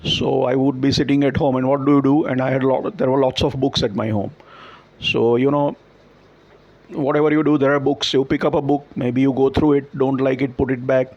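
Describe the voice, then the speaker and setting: A man's voice: medium pitch, level tone, fairly quick, highs cut off. A man with a Gujarati-influenced Indian English accent, Gujarati his first language, talking thoughtfully and explaining with examples and small self-corrections. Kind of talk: reflective conversational talk.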